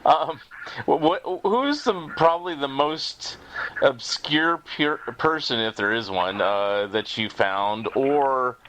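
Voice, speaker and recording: A man hosting a casual interview, asking questions in a hesitant, halting way. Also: mid-range voice, animated, fairly bright tone, a little hiss or hum, low-quality recording. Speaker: podcast host, interviewer.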